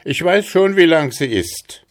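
A man's voice: mid-range voice, animated, a little slow, a little mellow, voice pushed up. A man voicing a clever young tailor hero who calls out slyly and cheekily. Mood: cheeky.

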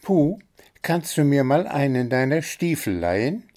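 A man voicing an excitable little bird who squeaks eagerly and schemes for presents. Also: measured pace.

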